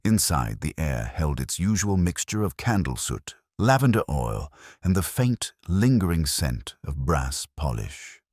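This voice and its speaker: A man reading a detective story as scripted dramatic narration, in a measured, literary way. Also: a bass voice, sing-song, darkish tone, no room sound, studio quality.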